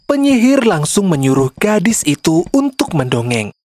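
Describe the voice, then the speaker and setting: A woman's voice: on the low side, very animated, moderate pace, darkish tone, no echo. A woman narrating a scripted children's story as a storyteller. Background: faint music.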